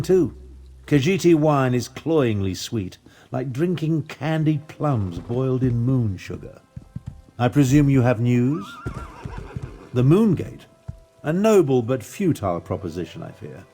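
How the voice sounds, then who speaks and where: Game casting: elderly wizard, jaded and pompous, mellow dark voice, dry sarcasm, faint music underneath. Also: male voice, mid-range voice, very animated, measured pace. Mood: jaded, disdainful. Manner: pompous, sardonic, weary. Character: jaded, haughty old male battlemage, sardonic. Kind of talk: video game character dialogue.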